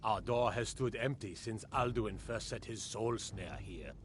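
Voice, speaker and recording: An actor playing a solemn, stately ancient Nordic hero in a fantasy game, speaking in grave, archaic declamation. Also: male voice, on the low side, measured pace, close to an everyday voice. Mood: solemn welcome. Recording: clear background ambience noise.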